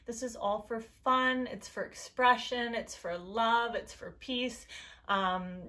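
A young woman with a low voice talking casually and earnestly to the camera from a decorated room at home, encouraging viewers to make art. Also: fairly even intonation, takes it slowly, sharp tone. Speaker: YouTube creator. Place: decorated bedroom indoors.

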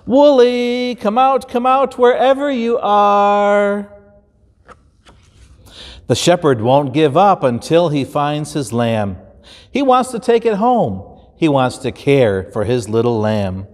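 A man in his fifties with an American accent, a pastor reading a children's story aloud in an expressive, deliberate way. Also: mid-range voice. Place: large indoor church stage. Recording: roomy hall with long reverb.

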